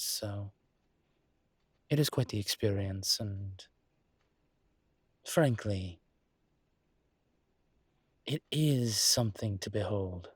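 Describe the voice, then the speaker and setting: A man playing a suave, flirtatious demon professor in an intimate audio roleplay, teasing and indulgent, with a husky, breathy voice and languid, theatrically polite phrasing. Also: fairly low pitch, no echo. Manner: languid, seductive, theatrically polite with drawn-out words.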